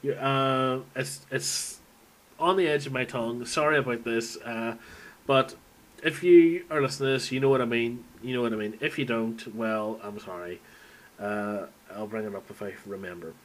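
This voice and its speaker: A man's voice: medium pitch, moderate pace, low-quality recording. A man giving a casual, unscripted monologue as a fan, rambling and thinking aloud as he goes. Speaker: video game fan recording an audio log.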